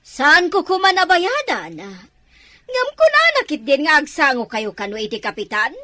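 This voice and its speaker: A woman's voice: high-pitched, sing-song, normal speed, sharp tone, dry recording, full character voice. A woman playing a haughty, hot-tempered middle-aged mother in a Filipino radio-style drama, scornful and scolding. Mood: annoyed.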